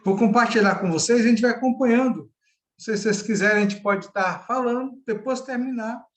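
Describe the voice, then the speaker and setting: A man's voice: extremely high pitch, brisk. Middle-aged man, pastor leading a casual Bible study over a call, conversational. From Salvador, Bahia, Brazil. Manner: chatty, often ends with a tag question. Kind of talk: informal Bible study talk. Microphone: video call.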